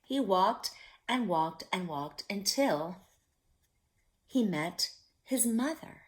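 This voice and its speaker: A woman's voice: medium pitch, animated, takes it slowly. A woman storyteller reading a picture book aloud expressively, with simple asides addressed to young children.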